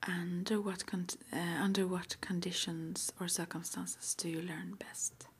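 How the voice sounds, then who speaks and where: A woman whispering softly and breathily as an interviewer in an ASMR roleplay, murmuring little acknowledgements between her questions. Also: lowish voice, level tone, unhurried, husky. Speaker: interviewer at a witch school.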